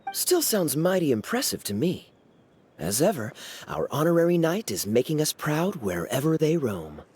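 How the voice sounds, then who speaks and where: An actor playing a suave, teasing young knight in an anime-style video game, smooth and playful in his drawling delivery. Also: fairly high pitch, big pitch swings, normal speed, a little mellow, slight background noise. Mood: impressed, teasing.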